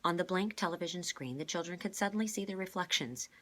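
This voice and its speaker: Female storyteller, low husky register, expressive children's audiobook read. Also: fast. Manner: expressive storytelling with distinct character voices. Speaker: reader. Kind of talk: reading a children's novel aloud.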